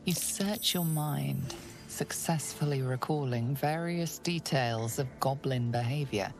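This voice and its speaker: A woman playing a sinister inner narrator of dark urges in a fantasy game, menacing and deliberate as she savours each phrase. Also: a bass voice, music in the background, exaggerated voice. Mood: measured.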